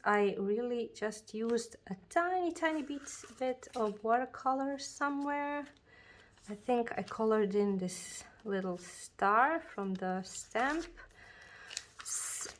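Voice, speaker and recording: A woman chatting casually about her journals and planners, talking slowly with many fillers and restarts, her voice a bit froggy from a cold. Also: medium pitch. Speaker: journaling video creator. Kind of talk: casual chat showing a planner.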